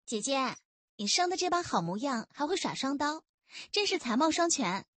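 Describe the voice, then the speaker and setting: Woman acting a cheeky, high-pitched young female constable. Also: moderate pace, dry recording. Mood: cheerful flattery. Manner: teasing, lively. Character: young female constable, cheeky, playful, boastful and quick-tongued.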